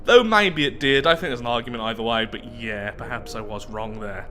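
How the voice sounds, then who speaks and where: Bright-voiced man narrating a game playthrough. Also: medium pitch, a little fast, a little hiss or hum. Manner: chatty, musing aloud, self-deprecating. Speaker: host of a game playthrough series. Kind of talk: gaming commentary mixed with reading story text aloud.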